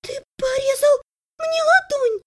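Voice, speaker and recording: A woman's voice: extremely high pitch, steady tone, takes it slowly, a bit bright, pitched above the performer's own voice, heavily acted. A woman voicing a hysterical, self-pitying young sister in an audiobook, shrieking and whining. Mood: shocked, indignant. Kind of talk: audiobook character acting.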